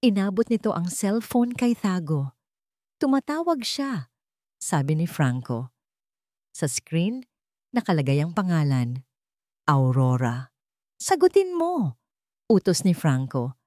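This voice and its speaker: Expressive woman narrating a drama. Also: sits low, measured pace, long pauses, no room sound, studio quality.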